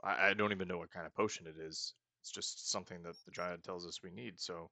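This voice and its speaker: A man's voice: low-pitched, steady tone, fast, gravelly, pushed and bright, no room sound. A young man casually commenting on a roleplaying game as he plays, thinking aloud and joking at his own mistakes. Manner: thinking aloud, chatty and self-mocking. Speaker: let's-play host.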